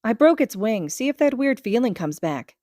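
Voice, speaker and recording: A woman's voice: fairly high pitch, very animated, moderate pace, warm tone, dry recording, clean studio sound, voice pushed up. A woman voicing a bold, curious young boy with a casual, laid-back manner in an audiobook. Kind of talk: fan fiction audiobook acting.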